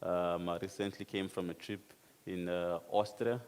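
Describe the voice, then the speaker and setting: Man from South Africa, very low and monotone, halting with fillers, hall echo. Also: smooth. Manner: hesitant, filler-heavy. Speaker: panelist, car spinning athlete. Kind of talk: panel introduction. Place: conference hall. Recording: reverberant hall.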